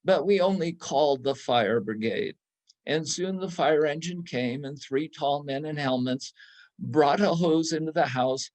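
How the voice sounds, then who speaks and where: A man reading literary prose as a storyteller, in measured, deliberate phrases. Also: on the high side, a little mellow. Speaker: reader in a church readers theatre.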